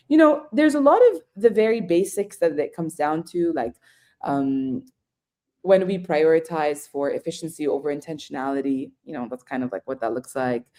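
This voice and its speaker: A woman's voice: deep voice, warm tone. A woman explaining ideas on a webinar, often checking in with listeners. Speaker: webinar guest.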